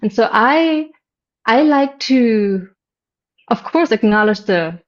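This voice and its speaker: A woman's voice: a little high, lively intonation, takes it slowly, dull audio. A woman from Germany with a light German accent blended with a deaf accent, a meditation teacher talking reflectively as an interview guest. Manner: reflective, gentle, with soft laughs. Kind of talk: casual interview.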